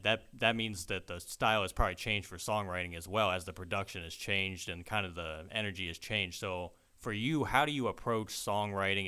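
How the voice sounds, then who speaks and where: Low-voiced laid-back male host. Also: sharp tone, clear, resonant tone. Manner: laid-back, lots of "like" and "yeah" backchannels. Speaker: podcast host. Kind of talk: casual interview chat.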